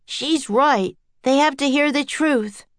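A woman's voice: sits high, takes it slowly, no echo, pitched above the performer's own voice. A woman voicing an earnest, desperate boy who pleads bravely in a storybook reading.

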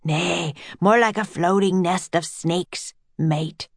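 A woman's voice: medium pitch, a little slow, no echo, close to an everyday voice. A superstitious old seaman, as a woman reader puts him on for a children's story. He speaks dramatically in archaic sailor talk, as if every word is a warning, in a dry recording. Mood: dramatic warning. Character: superstitious old-time sailor man, dramatic teller of monster tales. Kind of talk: children's audiobook character voice.

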